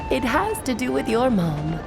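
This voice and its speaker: Playful theatrical magic auntie from a game, highish voice, very swoopy, whimsical storyteller. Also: female voice, sing-song, measured pace, over music. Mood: playful, confiding. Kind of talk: video game voice acting.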